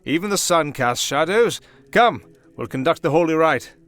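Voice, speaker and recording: A man voicing a kindly, wry old high priest in a fantasy audio roleplay, with fatherly, ceremonious, unhurried phrasing. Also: animated, a bit bright, no room sound. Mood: amused, fatherly. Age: older.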